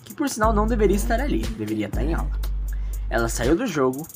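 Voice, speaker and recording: Low-voiced Brazilian woman narrating, lively swings. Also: quick pace, music in the background. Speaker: narrator of an audio drama. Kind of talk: storytelling narration, loosely scripted.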